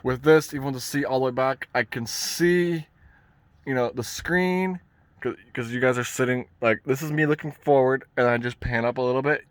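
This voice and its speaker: A man's voice: medium pitch, normal speed, no room sound. A man giving an informal hands-on tutorial inside a vehicle, rambling and thinking aloud as he goes. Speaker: vlogger presenting a truck radio install. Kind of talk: casual hands-on tutorial talk. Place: inside a parked pickup truck cab.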